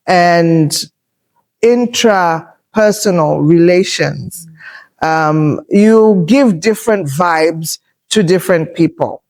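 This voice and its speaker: A woman in her fifties hosting a podcast, speaking slowly and deliberately. Her voice is loud and assertive, and she talks in a thoughtful, reflective way with frequent pauses. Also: lowish voice. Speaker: podcast host. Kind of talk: reflective conversational talk.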